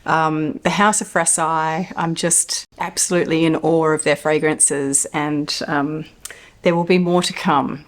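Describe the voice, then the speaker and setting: Woman, fifties, slightly croaky, low-pitched voice, enthusiastic home vlog. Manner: chatty, enthusiastic, laughs often. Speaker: perfume reviewer. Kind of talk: casual to-camera review. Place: home living room.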